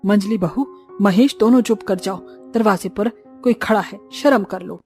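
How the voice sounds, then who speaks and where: A woman's voice: medium pitch, a little slow, a little mellow, soft background music, close to an everyday voice. A woman voicing a worried, caring but scolding village mother-in-law in a cartoon story, plaintive and admonishing.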